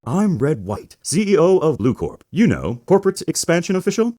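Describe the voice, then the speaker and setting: A man's voice: a little high, sing-song, normal speed, mellow. A man voicing a smug, flamboyantly arrogant company president in a theatrical video game fan dub, with a pompous, grandiose way of talking. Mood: smug, boastful. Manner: pompous, grandiose, mangles big invented words.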